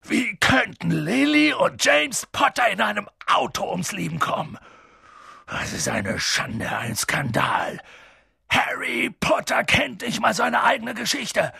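A reader voicing a huge, gruff half-giant man who blusters loudly but turns hushed and worried. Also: mid-range voice, sing-song, normal speed, no room sound, studio quality, exaggerated voice. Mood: gruff booming. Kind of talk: audiobook reading.

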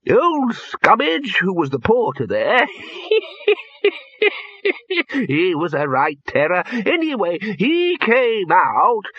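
Poons: a quavering ancient wizard. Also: male voice, high-pitched, fairly bright tone, no echo, voice pushed up, full character voice. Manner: quavering, chuckling, wandering reminiscence. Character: very old rambling male wizard, nostalgic and doddery. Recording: dull lo-fi, dry.

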